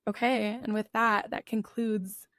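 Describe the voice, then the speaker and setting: A woman in her twenties hosting a podcast, talking casually with friends, filling her speech with "like" and sounding a little raspy. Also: mid-range voice, level tone, measured pace, a bit bright, no room sound, studio quality. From United States. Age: 20s. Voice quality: a bit raspy. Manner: chatty, says "like" constantly. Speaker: podcast host. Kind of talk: casual chat.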